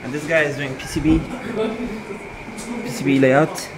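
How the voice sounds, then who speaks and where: A man's voice: medium pitch, level tone, normal speed, over a noisy crowd. A man filming and interviewing on a casual walk around an office, asking short questions and echoing the answers back. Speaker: interviewer and camera operator. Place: open-plan office, indoors. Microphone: handheld camera mic, voice from behind camera. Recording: noisy office ambience, handheld camera sound.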